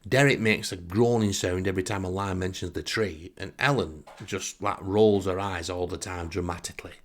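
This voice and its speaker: A man's voice: low voice, steady tone, moderate pace. A man from England, telling a comic anecdote in a wry, exasperated way. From northern England. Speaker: storyteller.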